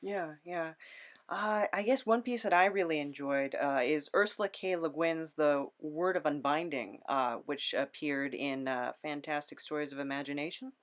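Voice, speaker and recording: Woman, slightly low voice, casual podcast host, agreeable, a little tentative. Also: normal speed. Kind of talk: casual conversational interview.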